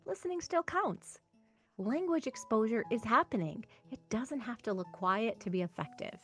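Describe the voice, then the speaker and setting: A woman's voice: fairly high pitch, lively intonation, moderate pace, a bit bright, faint music behind. A woman hosting as a tutor, giving instructional advice to parents in an encouraging, emphatic way. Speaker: reading tutor and host. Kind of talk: instructional talk to camera for parents.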